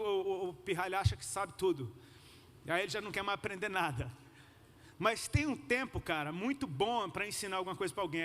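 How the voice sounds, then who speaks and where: Brazilian male preacher, bright and forceful high voice, informal slangy sermon delivery. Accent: Brazilian Portuguese. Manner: colloquial, slangy, gets listeners to repeat phrases. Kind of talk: conversational sermon with personal anecdotes. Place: large hall, preaching to a congregation. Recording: echoey hall with noticeable background noise.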